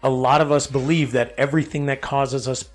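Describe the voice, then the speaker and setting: A man's voice: mid-range voice, steady tone, a little fast, soft background music. A man hosting an interview podcast, often backing his guest up with short agreements. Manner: affirming, frequent "yeah" and "that's right". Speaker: podcast host. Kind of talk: casual interview chat.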